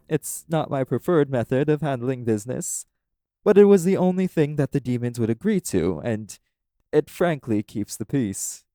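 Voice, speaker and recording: A man's voice: mid-range voice, warm tone, no room sound, studio quality. A man playing a polite, earnest guardian angel who speaks formally and diplomatically in an audio roleplay. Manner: formal, courteous, measured explaining.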